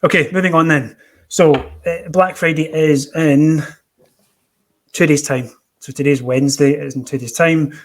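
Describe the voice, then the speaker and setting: A man's voice: on the high side, steady tone, normal speed. A man hosting a casual podcast chat, bantering as he puts listener questions to his guests. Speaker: podcast host.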